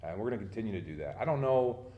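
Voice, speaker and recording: A deep-voiced man talks quickly and earnestly as a head coach, repeating words as he starts his sentences. His voice rings in an echoey room. He sounds like he is answering questions on a local sports show. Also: animated, phone-quality sound, slight background noise. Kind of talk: casual interview answers.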